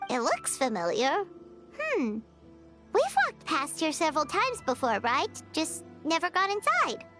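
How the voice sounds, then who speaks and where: A bubbly little floating sprite girl in a game, her voice very high and piercingly bright. She swings wildly up and down in pitch and pries into everything. Faint game music plays beneath her. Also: wildly swooping pitch, heavily acted. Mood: curious. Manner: bubbly, curious, quick to question. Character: tiny floating female companion sprite, chatty and nosy. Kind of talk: game voice acting.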